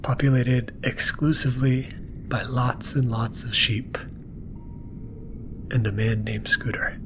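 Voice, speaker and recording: A man hosting a bedtime podcast, narrating gently in a soft, half-whispered voice for relaxation. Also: steady tone, slow pace, creaky, background noise. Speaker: podcast host. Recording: music playing behind the voice. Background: mellow music and low-key sound design.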